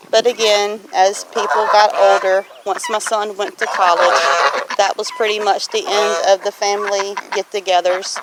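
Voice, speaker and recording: A middle-aged woman speaks at an ordinary pitch in a folksy, reflective manner. She is chatting through a casual question-and-answer vlog. The recording is noisy, with farm geese honking and clicks behind her. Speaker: homesteading vlogger. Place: outdoors on a farm homestead. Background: farm animals, geese honking.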